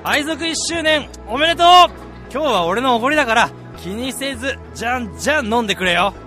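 A young man acting a hearty, easygoing salaryman mentor with casual, rough speech in a radio drama. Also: very high voice, animated, a little fast. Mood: boisterous, merry. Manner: casual, rough, blokey senior-to-junior speech. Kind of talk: radio drama acting with first-person narration. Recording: music behind the voice.

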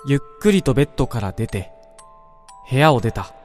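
A man playing a wistful dreamer in a radio drama, telling of his own dream in a measured, reflective first-person narration. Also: mid-range voice, big pitch swings, darkish tone, lightly acted. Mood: reflective, calm. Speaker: narrator-protagonist. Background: background music.

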